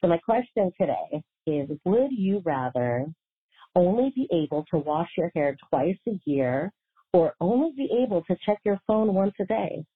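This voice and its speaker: A woman's voice: fairly low pitch, very animated, moderate pace, slightly rough. A woman leaving a casual voicemail for a show as a caller, recorded over the phone. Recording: tinny narrow phone line.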